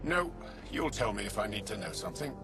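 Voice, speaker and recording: An actor playing a matter-of-fact alien lookout soldier in a video game, with a blunt, dry manner. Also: male voice, on the low side, big pitch swings, brisk, over music. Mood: casual. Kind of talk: dramatic game dialogue. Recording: clear noisy outdoor ambience behind.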